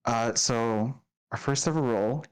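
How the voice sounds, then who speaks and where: A man's voice: medium pitch, takes it slowly, smooth, poor audio. A man acting as dungeon master, introducing the players and narrating the scene with upbeat energy. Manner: upbeat, laughing, descriptive narration.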